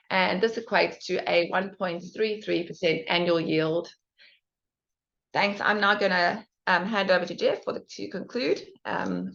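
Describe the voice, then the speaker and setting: A woman's voice: fairly low pitch, gravelly. A woman presenting financial results as an executive, reading figures formally and carefully with small stumbles. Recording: dull, band-limited conference call audio.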